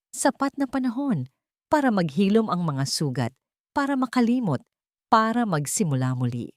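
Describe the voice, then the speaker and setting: A Filipino woman tells a dramatic story as its narrator. Her voice sits fairly low, and the recording is dry and close, with little room sound. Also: darkish tone, no room sound.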